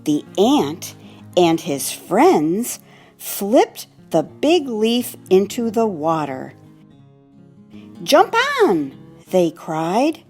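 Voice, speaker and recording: Very slow, animated woman reading a children's story. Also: mid-range voice, soft background music. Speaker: volunteer storybook reader. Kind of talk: expressive children's story read-aloud.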